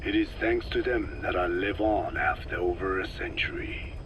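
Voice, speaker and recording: An actor playing an ancient, soft-spoken scientist in a video game, with a breathy aged voice and a measured, formal way of telling things. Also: male voice, expressive, highs cut off. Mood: calm, wry. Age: older. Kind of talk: dramatic video game dialogue. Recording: noisy cassette tape with vehicle-like rumble.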